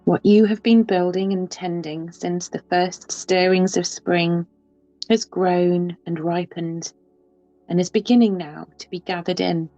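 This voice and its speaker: Slow, low, mellow-voiced woman guiding deep rest. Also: steady tone, no echo. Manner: soft, unhurried, with long pauses. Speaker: yoga nidra teacher. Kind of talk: slow guided relaxation. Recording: slightly dull, with soft music behind.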